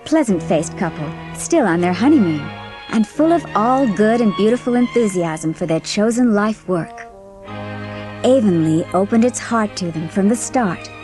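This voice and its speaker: A woman's voice: medium pitch, expressive, normal speed, music in the background. A woman reading scripted storybook narration as the narrator of a dramatised audiobook.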